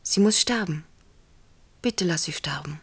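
Earnest, wheedling film star. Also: female voice, fairly low pitch, expressive, gravelly, breathy edge, exaggerated voice. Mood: hushed, hurt. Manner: hesitant, halting, persuasive. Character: earnest, wheedling film actress pleading over her role. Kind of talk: German radio drama acting.

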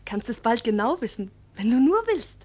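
Casting: conspiratorial young best friend, period radio drama, high husky-breathy voice. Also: female voice, sing-song, normal speed, a little mellow. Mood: teasing. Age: young adult. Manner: teasing, conspiratorial. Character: teasing, knowing young girlfriend and confidante.

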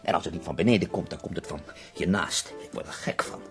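Guy doing a dying poet in a radio play, super deep gravelly voice, wry complainer, music behind. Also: fast talker, close to an everyday voice. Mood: exasperated. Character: dying bedridden poet, sardonic, self-pitying, witty.

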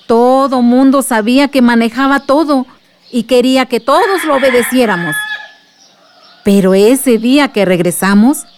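Kindly Mexican aunt, gentle, reminiscing, fairly high voice, radio drama storyteller. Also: female voice. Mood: earnest storytelling. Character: kindly aunt telling her village's past to a child.

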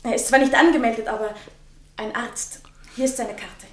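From off mic in a roomy, faintly noisy space, a woman acts out a brisk secretary for a radio play. Her voice is fairly high and businesslike. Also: steady tone, close to an everyday voice. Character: brisk female office secretary announcing visitors. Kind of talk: German radio play acting. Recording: echoey, distant from mic, faint noise.

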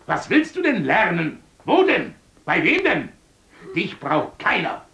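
An actor playing an angry foster parent from a mill household in a radio play, scornful and accusing. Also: male voice, high voice, huge pitch swings, slow pace, creaky, bright, forceful tone, reverberant, full character voice. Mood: scornful ranting.

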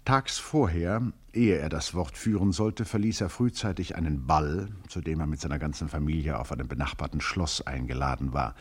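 A man's voice: extremely low pitch, moderate pace, few pauses, slightly rough. A man reading a scripted literary story as narrator, with formal diction and an eloquent, ironic, rhetorical delivery.

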